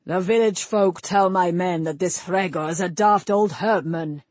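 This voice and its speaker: A woman voicing a massive grey-haired warrior king, a gruff, domineering father who blusters in rustic words, in a fantasy audiobook. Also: on the low side, measured pace, a little mellow, no echo. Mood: scornful.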